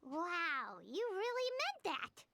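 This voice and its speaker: A woman voicing a tiny, cheerful, childlike companion creature in a video game, chatty and excitable. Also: extremely high pitch, slow, exaggerated voice. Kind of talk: video game character acting.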